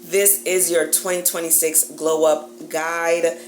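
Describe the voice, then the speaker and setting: A woman, giving casual, emphatic, sisterly advice in a colloquial vlog style with drawn-out words. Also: lowish voice, flat delivery, over loud music. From Jamaica-born, grew up in the Bronx, New York. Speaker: YouTube host, dating and lifestyle coach. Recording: echoey room with clear background noise.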